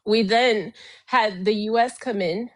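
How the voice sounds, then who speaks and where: A Haitian woman, a guest in a political debate, speaking slowly and deliberately with firm emphasis. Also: medium pitch.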